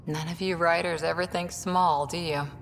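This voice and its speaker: An actress playing a young, steady, matter-of-fact ex-commando woman in a video game. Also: normal speed, gravelly. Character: young female ex-commando soldier, dry and steady. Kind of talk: video game character dialogue. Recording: long reverb, faint rumbling background noise.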